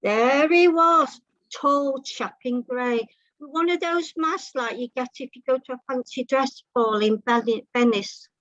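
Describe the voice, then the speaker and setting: Female reader for comic short fiction: high pitch, slow measured pace, muffled video-call sound. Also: a bit bright, no echo. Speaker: story reader. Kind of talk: scripted comic short story narration. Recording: muffled, dull online meeting audio.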